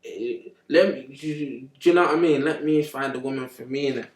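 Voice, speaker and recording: Relaxed man in an echoey room. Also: medium pitch, a little fast. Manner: relaxed, full of fillers. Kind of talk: casual chat. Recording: echoey room, far from mic.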